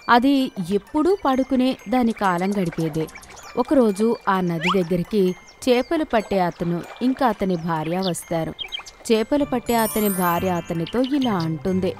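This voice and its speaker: A woman narrating a children's animated moral story in a gentle, steady storytelling voice. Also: mid-range voice.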